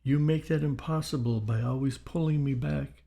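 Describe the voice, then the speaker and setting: A man's voice: mid-range voice, normal speed, creaky, dark tone, pitched above the performer's own voice, lightly acted. A man voicing an irritated dead husband's spirit in an audiobook, stern and reproachful, with a slight British accent.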